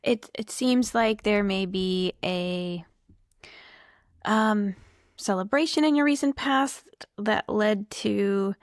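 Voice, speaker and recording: A woman reading tarot cards to camera in a relaxed, unhurried way, her voice slightly hoarse. She is chatty and reflective and thinks aloud as she goes. The sound is dry and close. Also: mid-range voice, no echo. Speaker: tarot reader.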